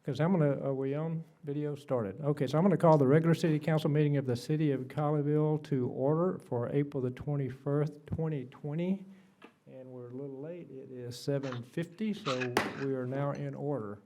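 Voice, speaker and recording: A soft-spoken man, the mayor, chairing a city council meeting with easygoing, plain speech and frequent fillers. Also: a little high, fairly even intonation, brisk, poor audio. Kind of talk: formal meeting chairing, roll call. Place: council chamber.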